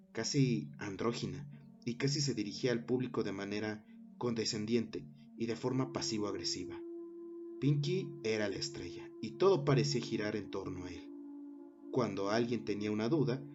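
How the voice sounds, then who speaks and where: Guy w a reading a creepy story, lowish voice, slow dramatic pauses, muffled lo-fi audio w music behind. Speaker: narrator. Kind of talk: scripted horror story narration. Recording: dull lo-fi recording with music underneath.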